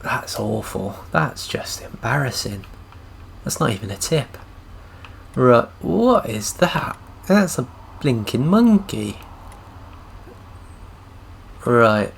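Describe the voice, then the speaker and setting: A man's voice: mid-range voice, very animated, takes it slowly, gravelly, pauses often, a little hiss or hum. A man giving casual gameplay commentary with wry, grumbling asides. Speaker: gaming commentator.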